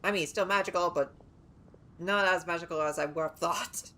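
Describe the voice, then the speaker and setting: A woman's voice: on the low side, normal speed, pushed and bright. A woman livestreaming a game with casual, chatty, rambling commentary and reactions. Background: game music.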